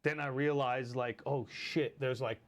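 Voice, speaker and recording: A man in his forties from Lebanon with a Lebanese accent, telling stories in a casual chat, animated and full of swearing and fillers. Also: mid-range voice, measured pace. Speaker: battle rapper guest.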